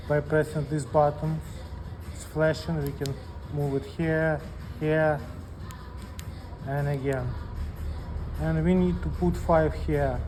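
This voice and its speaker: Male technician for how-to demo, slow plain delivery, relaxed timbre, slight background noise. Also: fairly high pitch. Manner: plain step-by-step explaining. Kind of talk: hands-on instructional demonstration.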